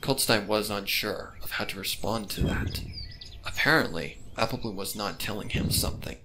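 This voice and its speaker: A man's voice: fairly low pitch, normal speed. A man reading fan fiction aloud as a scripted narrator. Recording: heavy background noise with birdsong.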